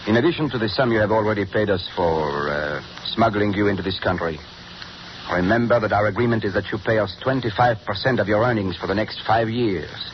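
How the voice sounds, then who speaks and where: A man playing a bitter, cold mad scientist in a 1930s radio drama, measured and formal, on a muffled old broadcast with hiss. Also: lowish voice, natural voice. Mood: menacing. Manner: measured, coldly formal and menacing. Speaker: villain.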